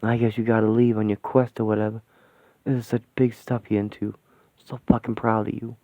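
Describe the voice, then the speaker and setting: A man voicing a rough, streetwise ghost father who speaks gruffly and tenderly. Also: on the low side, little pitch movement, a little fast, dry recording, voice pushed down, lightly acted. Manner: gruff street talk, soft tender delivery. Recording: dry tinny phone-like sound.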